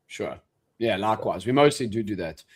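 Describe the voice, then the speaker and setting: A man with a South African accent talks casually on a live trading stream. His delivery is relaxed and conversational, full of quick agreements and "bro". He sounds like a regular co-host checking in with his partner. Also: on the low side. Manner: relaxed, says bro and yeah often.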